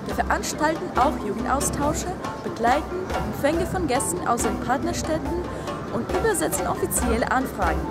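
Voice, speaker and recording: A young woman from Russia, a language teacher, speaking in an animated, precise way outdoors. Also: sits high. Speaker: city ambassador and language teacher. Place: outdoors in a town square.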